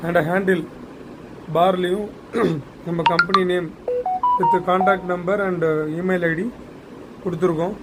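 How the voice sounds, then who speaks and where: A man giving an unscripted product demonstration, mixing in English terms, halting and repeating words as he explains. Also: high voice, little pitch movement, very noisy.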